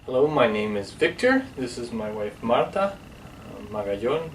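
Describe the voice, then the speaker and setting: A man's voice: mid-range voice, very animated, measured pace. A male missionary giving a plain testimonial that trails off at the ends of phrases. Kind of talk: testimonial to camera. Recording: distant mic, faint noise.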